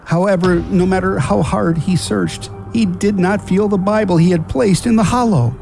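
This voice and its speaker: A man's voice: high-pitched, normal speed, gravelly, a little mellow, soft background music. A man narrating a scripted family radio drama in a storybook style.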